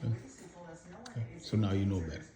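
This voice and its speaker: A middle-aged man conducting an informal interview, speaking haltingly and rephrasing his questions as he goes. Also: low-pitched, mellow. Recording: echoey room with people in background.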